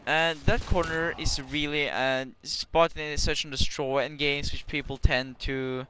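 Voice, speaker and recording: A man's voice: a little high, level tone, a little slow. Rambling young man with Norwegian accent. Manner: rambling, casual, swears, many restarts. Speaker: gameplay commentator. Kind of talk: casual gameplay commentary. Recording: clear background hiss.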